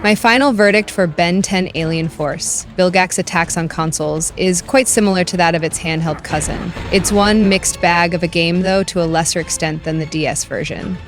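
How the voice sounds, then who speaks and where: Low-pitched woman narrating a game review. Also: normal speed, hardly any pauses, faint music behind.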